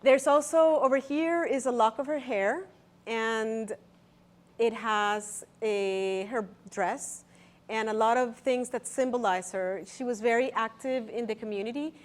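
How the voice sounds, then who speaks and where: High-pitched, halting woman. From El Salvador. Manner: halting, nervous, searching for words. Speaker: artist presenting own exhibition. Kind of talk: informal gallery talk, explaining artworks to visitors.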